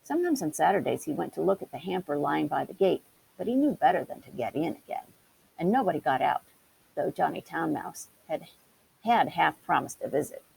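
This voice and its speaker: A woman reading a children's story aloud in an expressive way. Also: sits low, brisk, creaky, husky, poor audio. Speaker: storybook reader. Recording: hissy background noise.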